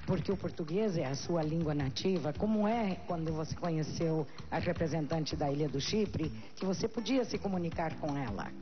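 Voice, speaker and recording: An interpreter relaying questions and answers on a pageant stage in a brisk, businesslike way. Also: mid-range voice. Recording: muffled broadcast with music.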